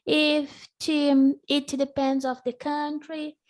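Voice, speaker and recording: Brief: English teacher, Portuguese native, measured, patient repetition, unhurried delivery. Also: female voice, high voice, little pitch movement, darkish tone. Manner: patient, slow drilling, repeats words for the student. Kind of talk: live online English lesson.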